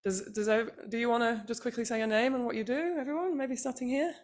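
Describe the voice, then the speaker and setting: A woman's voice: on the high side, steady tone, fast. A woman chairing a small workshop session, talking casually with many restarts. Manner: chatty, hesitant, with many restarts. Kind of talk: casual meeting talk.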